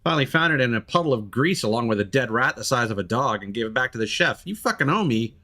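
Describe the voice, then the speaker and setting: A man's voice: medium pitch, animated, fast, bright, forceful tone. A man voicing an irate, foul-mouthed kitchen dishwasher from a video game note, exasperated and profane. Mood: exasperated, angry.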